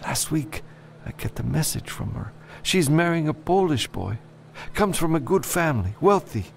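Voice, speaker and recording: A man voicing a desperate enslaved father who pleads haltingly for help in an audiobook story. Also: on the high side, very animated, vocal fry, a little hiss or hum, voice pushed up. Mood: desperate pleading.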